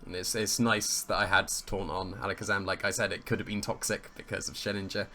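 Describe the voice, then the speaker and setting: A man with a slightly hoarse, croaky voice commentates his own competitive match in casual play-by-play, thinking aloud, weighing his options and amused at his own plays. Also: on the low side, steady tone, brisk, sharp tone, soft background music.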